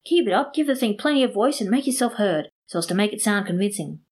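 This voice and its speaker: Storybook part: sly scheming man, mocking and falsely sincere, medium pitch read by a woman. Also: animated, fast talker, a little mellow, breathy edge. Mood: sly, teasing. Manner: teasing, mock-sincere.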